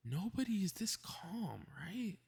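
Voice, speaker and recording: A man's voice: high voice, big pitch swings, slow, vocal fry, noisy background, full character voice. A man playing a cocky, snarky superhero in a scripted audio roleplay, muttering to himself with sarcastic exasperation. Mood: indignant. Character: cocky, snarky, meddling invisible male superhero grumbling to himself.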